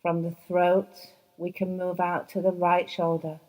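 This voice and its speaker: A woman teaching yoga nidra, guiding a relaxation meditation in a soft, soothing voice, slow and unhurried with long pauses. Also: on the low side, monotone, low-quality recording. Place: yoga training course.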